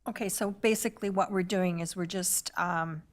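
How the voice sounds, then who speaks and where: A woman with a slightly low voice who sits on a city council. She talks briefly and casually as she sums up what the staff are doing. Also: fairly even intonation, normal speed. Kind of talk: council meeting discussion.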